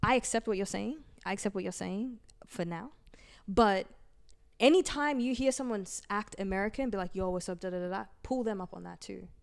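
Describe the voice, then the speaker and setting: A woman's voice: mid-range voice, a little fast, gravelly. A young woman, a podcast guest, speaking assertively and cutting in to press her point. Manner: assertive, interrupts to make points. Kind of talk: casual chat.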